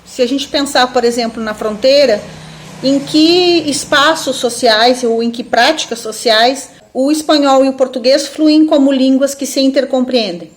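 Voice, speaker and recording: Woman, southern Brazilian accent, slightly high pitch, informal academic talk, reflective, frequent tag questions. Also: moderate pace. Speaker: university professor, webinar speaker.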